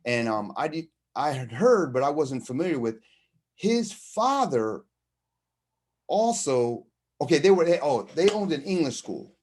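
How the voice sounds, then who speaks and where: A middle-aged man telling a personal story in a casual monologue, halting and rambling, with slangy conversational asides. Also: a little high, sing-song, a little mellow. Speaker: storyteller explaining a term from experience.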